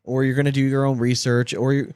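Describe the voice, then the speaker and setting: A man's voice: medium pitch, flat delivery, fast talker, a little mellow, smooth, dry recording. A man co-hosting a casual sports podcast, talking in a rambling way and repeating phrases for emphasis. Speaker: podcast co-host.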